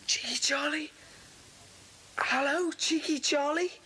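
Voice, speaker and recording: A woman playing an anxious, well-spoken schoolboy, earnest and polite with a husky voice, in a children's audiobook drama. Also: sits high, slow pace, bright, forceful tone, exaggerated voice. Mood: nervous. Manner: earnest, polite, old-fashioned schoolchild phrasing.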